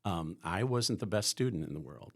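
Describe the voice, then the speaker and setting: Man, low pitch, husky, from chicago, rambling podcast guest. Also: expressive, moderate pace. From Chicago area. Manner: rambling, many restarts and fillers. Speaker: guest, school staff member. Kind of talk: conversational podcast interview.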